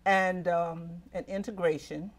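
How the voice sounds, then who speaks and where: A woman's voice: lowish voice, fairly even intonation, unhurried. An older woman co-hosting a TV talk panel, speaking reflectively and often repeating words as she gathers her thoughts. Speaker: co-host.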